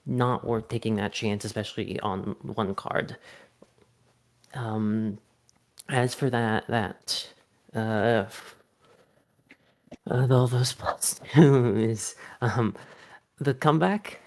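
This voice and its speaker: A man giving a casual post-game interview as a player, thinking aloud in a halting way. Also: lowish voice.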